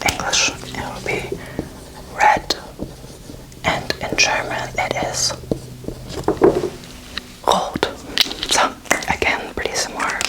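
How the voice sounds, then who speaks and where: Whispering young woman, ASMR tutor. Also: poor audio. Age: 20s. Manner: casual, playful, encouraging. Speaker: host teaching beginner German. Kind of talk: whispered ASMR language lesson.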